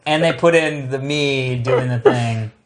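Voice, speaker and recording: A man's voice: medium pitch, a little slow. A man co-hosting a chatty, joking commentary with friends on their own show. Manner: jokey, chatty. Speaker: show host giving commentary. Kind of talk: casual joking group commentary.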